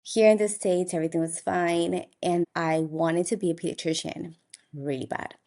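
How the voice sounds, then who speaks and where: A woman's voice: on the low side, steady tone, normal speed, mellow. A young woman from Colombia, an optometrist telling her story in a casual interview and laughing now and then. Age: young adult. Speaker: optometrist guest.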